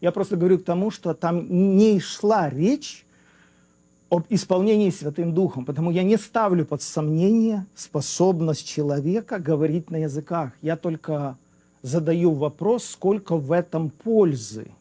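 A man's voice: fairly high pitch, moderate pace, warm tone. A man in a theological debate, raising polite objections and pressing questions.

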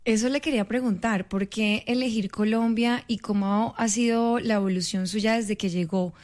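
A woman interviewing a guest, asking a question in a polite, smooth way. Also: mid-range voice, fairly even intonation, moderate pace, darkish tone.

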